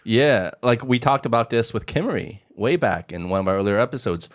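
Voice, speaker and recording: A man's voice: mid-range voice, a little fast. A man from Hong Kong hosting a podcast, talking in a rambling casual way with many "like"s and frequent laughs. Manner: rambling, lots of "like", laughs often. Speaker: podcast host. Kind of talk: casual conversation.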